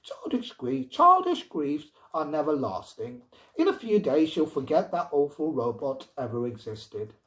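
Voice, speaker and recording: A man's voice: on the high side, sing-song, a little slow, a bit bright, exaggerated voice. A man voicing a stubborn, exasperated mother in a story, firm and emphatic. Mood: dismissive. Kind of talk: storybook character reading.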